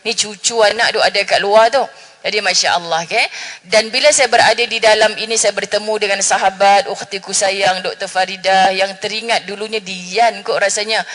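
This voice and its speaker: Woman religious speaker, northern Malaysian Malay accent, middle-aged, bright forceful voice, lively, echoing mosque hall. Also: mid-range voice, normal speed. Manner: chatty, jokes with the audience, Arabic phrases. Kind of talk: lively religious forum talk. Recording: long hall reverb.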